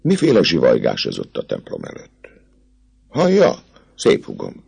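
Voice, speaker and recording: A man's voice: rumbling low, wildly swooping pitch, vocal fry, a little mellow, husky, full character voice. A man voicing a boastful young army captain who hesitates and stammers through evasive answers. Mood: flustered.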